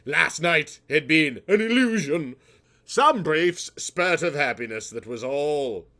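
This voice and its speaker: A man's voice: a little high, animated, takes it slowly, pushed and bright. A man narrating a comic story in a dramatic, mock-serious, melodramatic way.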